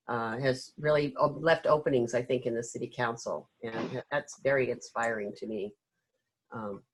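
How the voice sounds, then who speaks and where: A woman's voice: a bass voice, rough recording. A woman facilitating an informal online meeting, speaking as the host.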